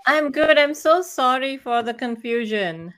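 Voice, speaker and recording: A woman's voice: high voice, animated, measured pace, a bit bright. A woman from India with an Indian accent, chatting politely and appreciatively as an interview guest. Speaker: podcast guest, author. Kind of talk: casual interview chat.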